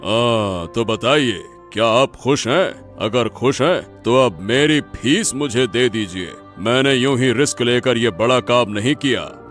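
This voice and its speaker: A man voicing a greedy, boastful holy man with dark powers in an animated moral story, self-important and menacing. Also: mid-range voice, slow, few pauses, soft background music.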